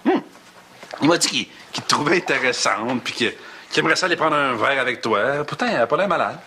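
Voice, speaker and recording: An actor playing a wisecracking Québécois joker. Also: male voice, mid-range voice, expressive, close to an everyday voice. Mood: teasing. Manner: sarcastic, quick comebacks. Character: teasing, boastful middle-aged man, quick jokes. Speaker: sitcom character, wisecracking friend. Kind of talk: sitcom acting. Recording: TV sitcom audio with loud noise.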